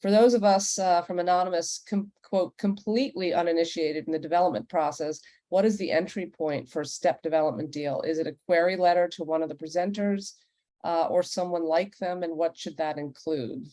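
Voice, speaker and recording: A woman's voice: fairly low pitch, fairly even intonation, a little fast, mellow, no echo. A woman moderating a panel discussion, giving plain-spoken advice in a conversational way and putting questions to the panelists. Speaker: panel moderator and podcast executive.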